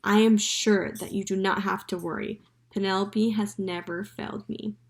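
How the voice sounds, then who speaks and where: Woman reader as a calm, confident storybook advice-giver. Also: mid-range voice, normal speed, natural voice. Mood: reassuring. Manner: soothing and sure.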